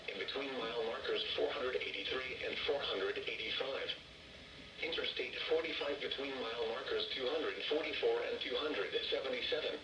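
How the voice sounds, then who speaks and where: A man's voice: mid-range voice, very noisy. Synthetic male weather radio announcer, echoey. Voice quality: synthetic text-to-speech voice. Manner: formal, measured, impersonal. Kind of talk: emergency weather warning bulletin.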